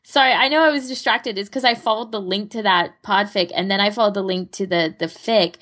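A woman's voice: mid-range voice, a little fast, a bit bright. A woman chatting casually as a podcast co-host, talking through her recommendations in an informal way. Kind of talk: casual chat, recommending fan fiction recordings.

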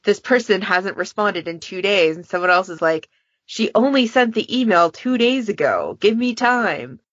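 A woman's voice: medium pitch, expressive, moderate pace, a bit bright, no room sound. A woman chatting casually and informally on a podcast, with frequent conversational asides. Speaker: podcast participant, fan event moderator. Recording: dull, lo-fi dry voice recording.

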